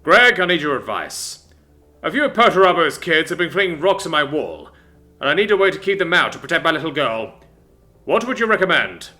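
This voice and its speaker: A man reading a story aloud, voicing a stern, towering armored warlord father who talks in a loud, commanding way. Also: a little high, sing-song, fairly quick, voice pushed up.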